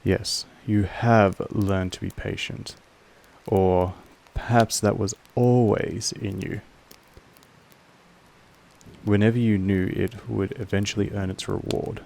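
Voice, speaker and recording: Possessive male vampire master played by a man for roleplay audio: low, evenly pitched, measured, patronizing, aloof. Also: steady tone, creaky, darkish tone. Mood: reflective, guarded. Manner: measured, formal, condescending. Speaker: voice actor in a roleplay audio drama. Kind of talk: slow intimate monologue addressed to the listener.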